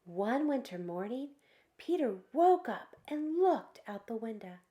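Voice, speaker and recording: Slow, lilting, high-voiced woman reading to children. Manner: expressive, drawn-out words, asks listeners questions. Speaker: children's storytime reader. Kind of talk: animated read-aloud for young children.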